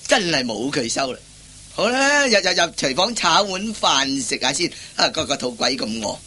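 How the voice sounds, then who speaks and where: An actor playing a timid, henpecked husband in a radio comedy, stammering and repeating himself. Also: male voice, a little high, very animated, normal speed, pushed and bright, breathy edge, heavily acted. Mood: flustered. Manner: stammering, hesitant, repeats his words. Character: henpecked, timid, flustered husband and office worker. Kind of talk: Cantonese radio comedy acting.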